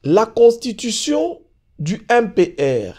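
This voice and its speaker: A man's voice: high voice, big pitch swings, slow pace, a little mellow. A Congolese man with a Congolese French accent, a talk show guest explaining a law emphatically and mixing in Lingala. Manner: mixes French and Lingala, emphatic, repeats fillers when searching for words. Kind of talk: political talk show discussion.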